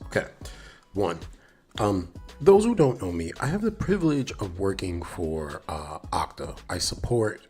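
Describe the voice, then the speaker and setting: Animated, slightly nasal low-voiced male streamer. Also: husky. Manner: enthusiastic, rambling, repeats phrases, addresses viewers directly. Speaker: live stream host, developer advocate. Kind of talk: casual live stream chat with viewers.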